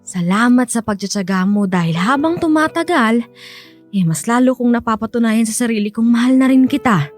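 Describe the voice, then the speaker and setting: Woman acting higher-voiced, bashful older Filipina sweetheart. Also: lively intonation, a little fast, faint music behind, close to an everyday voice. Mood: tender. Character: affectionate older mother, bashful, cautious sweetheart. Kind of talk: radio drama acting.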